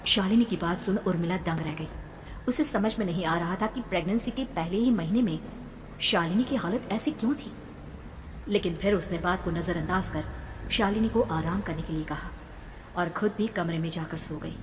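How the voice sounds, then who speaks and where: Woman narrating horror in deep reverb. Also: moderate pace. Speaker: storyteller. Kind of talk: dramatic scripted horror narration. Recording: long reverb, background noise.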